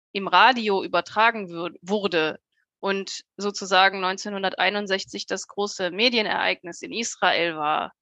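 A woman's voice: mid-range voice, bright, forceful tone, dry recording. A young woman talking conversationally as an interview guest, in a talkative, emphatic way. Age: young adult. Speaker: radio-trained journalist, jury member as podcast guest. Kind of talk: conversational interview, opinion and anecdote.

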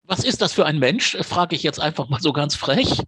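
A man's voice: fairly high pitch, lively intonation, normal speed, noisy background. A man hosting a podcast, moderating an interview in a casual conversational style. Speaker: podcast host.

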